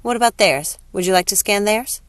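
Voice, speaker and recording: A woman's voice: mid-range voice, brisk, no echo, voice pushed up, close to an everyday voice. A woman reading a story, voicing a confident young nurse who is assertive, pointed and questioning. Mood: challenging. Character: Grace, confident, assertive young female nurse, easily appalled.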